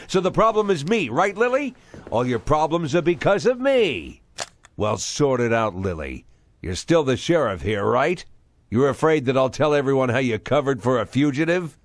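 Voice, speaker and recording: Bitter crooked ex-cop, middle-aged, needling and domineering, dramatic game dialogue, very animated pitch. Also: male voice. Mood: cold, menacing. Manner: sarcastic, menacing, needling. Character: bitter, domineering male ex-police chief gone crooked. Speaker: voice actor in game cutscenes.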